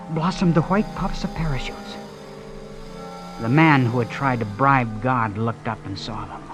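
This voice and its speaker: An actor playing an earnest, disillusioned young romantic hero in a theatrical, wistful old-time radio drama style. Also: male voice, mid-range voice, natural voice. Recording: vintage radio broadcast with music underneath.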